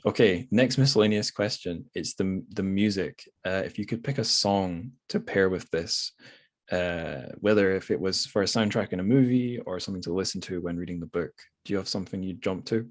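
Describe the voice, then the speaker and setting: A man hosts a relaxed book podcast. He talks casually and hesitantly, wandering through his thoughts as he goes. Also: deep voice, brisk. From Scotland. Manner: hesitant, rambling, thinking aloud. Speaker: podcast host. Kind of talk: casual literary interview.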